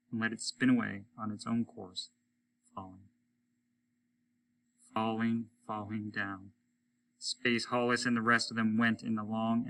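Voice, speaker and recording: A man's voice: fairly low pitch, bright, forceful tone, low-quality recording. A man reading a story aloud as a teacher would, in dramatic storytelling narration.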